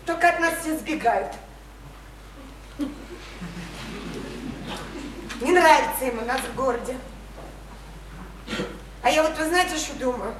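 A woman's voice: high-pitched, lively intonation, moderate pace. An actress playing a naive, lonely provincial young woman in a radio play, plaintive and insistent like a child. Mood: dreamy yearning. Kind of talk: theatrical Russian radio play acting. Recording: roomy, distant stage sound, faint noise.

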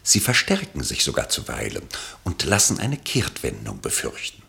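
A man narrating a radio play from a literary script in a measured, dryly ironic way. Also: very deep voice, huge pitch swings, gravelly, pushed and bright.

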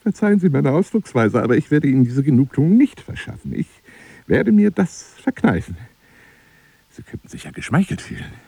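An actor playing an obsessive, grandiose telephone seducer in a radio drama, husky and breathy, florid and formal, wounded and menacing. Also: male voice, on the high side, big pitch swings, moderate pace, warm tone, no echo, full character voice. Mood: offended, scornful. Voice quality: husky, breathy, often dropping to a whisper. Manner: theatrical, florid, formal address, swinging from pleading to scornful.

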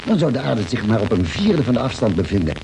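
An actor playing an excitable, skeptical spaceship crewman in a vintage radio drama, exclaiming and reasoning quickly. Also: medium pitch, creaky. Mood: arguing. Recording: noisy vintage radio recording.

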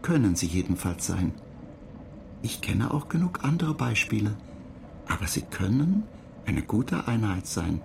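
Pedantic ship computer, gravelly, detached, terse, radio drama. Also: male voice, on the low side, big pitch swings, unhurried, darkish tone, slight background noise, full character voice. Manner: dry, lecturing, terse.

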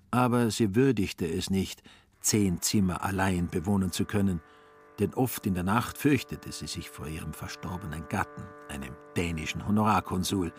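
Low-voiced male radio play narrator. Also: darkish tone, breathy edge.

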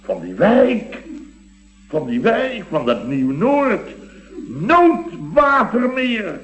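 An actor playing a blustering, sentimental middle-aged building contractor in a radio comedy, rambling and exclamatory. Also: male voice, high voice, big pitch swings, very slow, fairly bright tone, dull audio, exaggerated voice. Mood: awed, effusive. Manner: rambling, exclamatory, with repeated tag words. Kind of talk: Dutch radio comedy acting.

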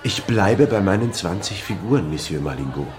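Low-voiced writer amid loud crowd. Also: male voice, expressive, moderate pace. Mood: stubborn, polite. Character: stubborn, polite male writer. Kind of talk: radio drama dialogue. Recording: loud crowd and music behind. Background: loud crowd, loud music.

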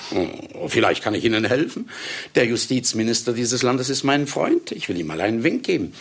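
An actor playing a self-important, irascible celebrated author in a German radio play, with a pompous, theatrical and brusque delivery. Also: male voice, mid-range voice, big pitch swings, a little fast. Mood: condescending. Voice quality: gravelly.

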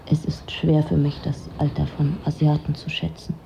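A woman's voice: sits low, level tone, normal speed, vocal fry, dark tone, in a whisper, low-quality recording. An actress playing a weary, detached, lonely woman who narrates her own story in a dry, introspective way for a radio drama. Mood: detached, observant. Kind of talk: literary first-person radio drama narration.

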